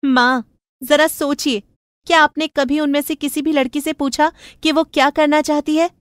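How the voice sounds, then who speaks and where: Young modern sister, spirited, persuasive, pitched well up, dry close sound, female narrator doing the voice. Cartoon moral story. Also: little pitch movement, moderate pace, no echo, lightly acted. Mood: insistent. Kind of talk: animated moral story dialogue.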